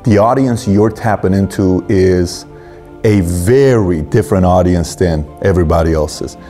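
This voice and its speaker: Male interview host, low and mellow, conversational and slightly halting, faint music underneath. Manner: halting, repeats words while forming questions.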